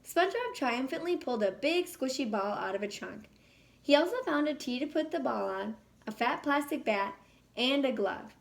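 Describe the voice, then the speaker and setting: Female storyteller for a children's read-aloud, slightly high voice, expressive delivery. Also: normal speed, creaky. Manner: animated, acts out dialogue. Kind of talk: expressive picture-book narration.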